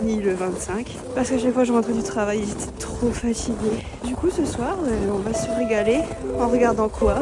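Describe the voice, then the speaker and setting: A woman's voice: fairly high pitch, noisy background. A young woman from France with a French accent from France, chatting casually to the camera as a vlogger. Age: young adult. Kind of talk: casual vlog chat to camera.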